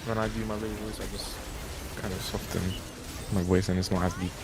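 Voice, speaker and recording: A man's voice: low voice, little pitch movement, a little fast, heavy background noise. A young man chatting in a laid-back, rambling way with friends during a game. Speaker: gamer on voice chat. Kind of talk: casual gaming banter. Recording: game music over voice chat.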